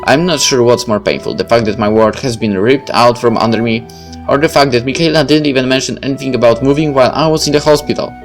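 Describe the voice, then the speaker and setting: A man's voice: medium pitch, fast talker, soft background music. A man reading a story game aloud and commenting on it as he plays. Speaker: gamer reading a visual novel aloud and commenting. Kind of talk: dramatic read-aloud of first-person fiction with casual asides.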